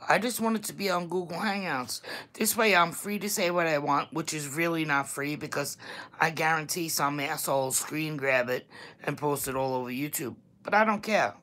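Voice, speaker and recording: Deep-voiced woman with thick Staten Island accent. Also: normal speed, a bit bright. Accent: Staten Island New York. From New York. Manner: chatty and blunt, laughs often. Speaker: YouTube vlogger. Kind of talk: casual livestream chat to viewers.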